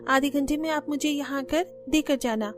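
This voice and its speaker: A woman playing a shrill, smug young daughter-in-law. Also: soft background music, lightly acted. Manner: bright, smug, quick to plead. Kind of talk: cartoon voice acting.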